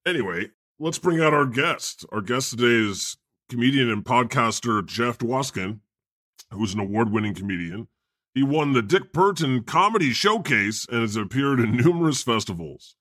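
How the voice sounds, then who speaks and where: Man playing God as an irreverent, animated podcast host. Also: mid-range voice, moderate pace, no room sound, clean studio sound. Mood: casual, wry. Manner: irreverent, teasing. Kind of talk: casual comedic banter and interviewing.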